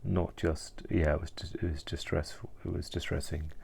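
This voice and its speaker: A man speaking in a hesitant, halting way, searching for words and subdued with distress, in a personal reflective vlog monologue. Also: very deep voice, flat delivery, vocal fry, mellow, a little hiss or hum. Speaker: mental health vlogger.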